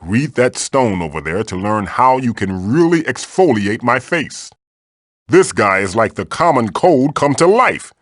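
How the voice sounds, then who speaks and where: A man's voice: mid-range voice, sing-song, a little slow, no echo, clean studio sound. A man voicing a magical floating tiki mask in a video game, a fatherly guide who is theatrical, encouraging and wry. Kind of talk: video game character voice lines, tutorials and quips.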